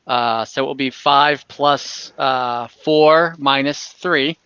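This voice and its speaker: Bright-voiced man running a tabletop game. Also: medium pitch, fairly even intonation, slow, clean tone, dry recording, dull audio. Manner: casual, conversational. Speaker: game master. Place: online voice call.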